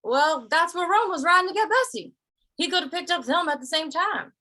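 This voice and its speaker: A woman's voice: very high voice, lively intonation, fairly quick, sharp tone, exaggerated voice. A woman playing an amiable, curious cowboy in an old-time western radio drama, with a mild, hesitant, folksy way of talking. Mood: awkward.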